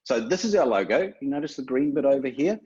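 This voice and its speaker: A man's voice: mid-range voice, animated, fast, mellow, breathy edge, lo-fi sound. A man with a New Zealand accent giving a chatty, self-deprecating webinar presentation as a guest speaker from a retailer.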